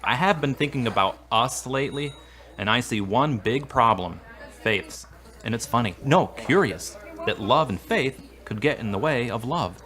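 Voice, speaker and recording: A man's voice: medium pitch, expressive, moderate pace, a bit bright, natural voice. An actor playing an earnest, devout man in a radio drama, speaking plainly and sincerely. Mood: troubled, defensive. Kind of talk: scripted radio-play dialogue.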